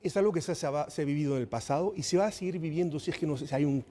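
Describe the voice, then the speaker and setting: A man's voice: on the high side, rapid-fire, warm tone, big-room sound. A man from Peru with a Peruvian accent, a lawyer guest explaining corruption and compliance on a panel show. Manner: storytelling, explanatory. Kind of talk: panel discussion.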